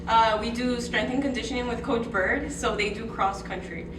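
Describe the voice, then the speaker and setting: A woman's voice: fairly high pitch, normal speed, crowd noise. Hesitant South Texas woman, team director, distant and roomy with background noise. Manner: hesitant, lots of ums and uhs. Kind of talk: casual interview. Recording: echoey, distant, noisy room.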